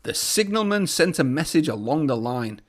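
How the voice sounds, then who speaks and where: A man's voice: on the high side, sing-song, moderate pace. A man narrating a children's storybook aloud as a storyteller.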